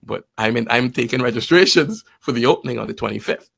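A man telling stories casually in conversation, acting out bits of quoted dialogue in an animated manner. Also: medium pitch, quick pace, vocal fry, slightly rough. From Guyana and Trinidad. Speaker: podcast guest, financial advisor.

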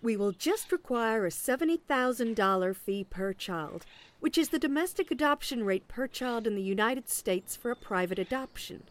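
A woman's voice: fairly high pitch, lively intonation, moderate pace, lightly acted. A woman acting in an audio play as an officious agent, politely relentless and salesy, with veiled sarcasm. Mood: briskly officious.

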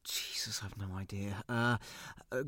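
A man's voice: lowish voice, steady tone, unhurried, sharp tone. An actor playing an anxious man who is too keen to impress on a date, hesitant, flustered and apologetic in a naturalistic audio drama. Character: anxious, over-eager man trying to impress on a first date.

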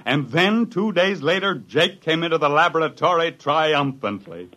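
A man's voice: fairly high pitch, lively intonation, moderate pace, bright, forceful tone. An actor playing a weary, wry research scientist who tells his own story in 1950s radio drama style. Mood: wry, narrating. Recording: 1950s radio broadcast, music behind.

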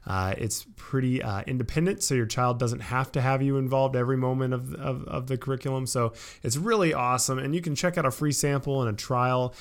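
Laid-back man, medium-pitched podcast co-host. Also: a little fast, hardly any pauses. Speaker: podcast co-host reading sponsor message. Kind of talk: casual, unscripted-sounding host talk.

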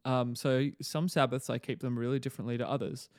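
Brief: male guest pastor, soft Australian accent, earnest and musing, dry close sound. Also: mid-range voice, little pitch movement, brisk, no room sound. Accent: Australian, mild. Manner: thoughtful and earnest, with many fillers and restarts. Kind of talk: casual podcast chat.

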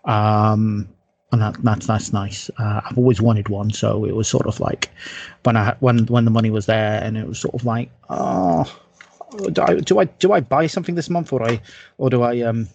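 A man co-hosting a podcast in casual hobby chat, speaking in a halting, rambling way and repeating his phrases. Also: lowish voice, fairly even intonation, creaky, a little mellow, a little hiss or hum. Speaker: podcast co-host.

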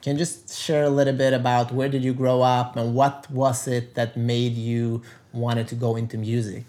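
A man's voice: mid-range voice, level tone, normal speed, dark tone. A man from Sweden hosting a podcast interview, reading a guest introduction and asking questions. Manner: reads guest introduction, brief affirmations. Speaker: podcast host.

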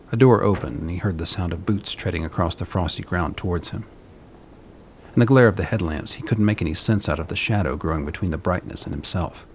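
A man narrating a scripted audio drama in a measured, literary style. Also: sits low, gravelly, slight background noise.

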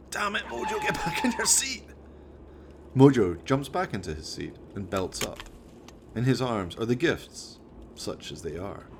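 A man's voice: fairly low pitch, noisy background. A man narrating a scripted radio play in a dramatic storytelling manner.

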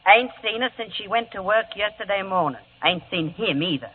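A woman's voice: medium pitch, expressive, fairly quick, slight background noise, natural voice. An actress playing a tight-lipped, dismissive older landlady in a vintage radio drama. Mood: cagey. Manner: dismissive, folksy grammar. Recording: tinny old radio broadcast recording.

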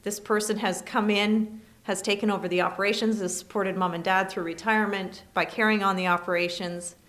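A woman lawyer with a Canadian accent, answering interview questions in a measured, explanatory way. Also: mid-range voice, steady tone, fairly bright tone.

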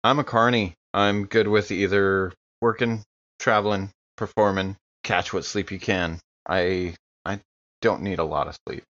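A man's voice: sits low, steady tone, unhurried, fairly bright tone, dry recording. A man playing an easygoing carnival worker in an improvised tabletop horror game, earnest, casual and full of questions, on a slightly dull microphone. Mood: easygoing, helpful. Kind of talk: improvised in-character tabletop dialogue.

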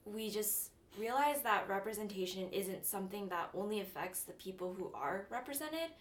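A woman's voice: medium pitch, expressive, normal speed. Giggly young woman with dry asides. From Southern California. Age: young adult. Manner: chatty, self-mocking, giggly asides. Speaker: podcast co-host. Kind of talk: casual college radio podcast chat.

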